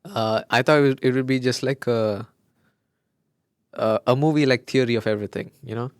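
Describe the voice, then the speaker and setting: A man's voice: mid-range voice, steady tone, moderate pace, smooth, dry recording, clean studio sound. A young man whose first language is Malayalam, co-hosting a podcast in casual, gushing chat that often trails off mid-sentence. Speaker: podcast co-host.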